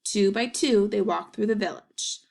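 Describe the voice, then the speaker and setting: A woman's voice: mid-range voice, normal speed, vocal fry, darkish tone. A woman reading a children's story aloud as narration, with little asides to the listeners.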